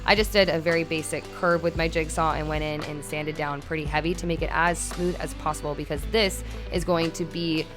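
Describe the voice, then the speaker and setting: A woman's voice: sits low, fairly quick, hardly any pauses. Chatty young woman in an echoey room. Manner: chatty and excitable, talks to herself while working. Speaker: DIY home makeover vlogger. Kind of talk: casual vlog narration and self-talk. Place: room under renovation, indoors. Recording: echoey room, distant mic, music underneath.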